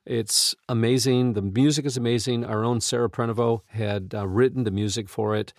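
A man hosting a podcast in casual studio chat, speaking in a halting, earnest and enthusiastic way. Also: medium pitch, fairly even intonation, no echo. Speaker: podcast host. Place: recording studio.

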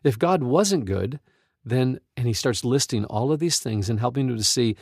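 A man hosting a devotional talk, retelling stories and quoting scripture in an earnest, emphatic, deliberate way. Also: medium pitch, expressive, darkish tone, breathy edge, no room sound, studio quality. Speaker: podcast host and Bible teacher.

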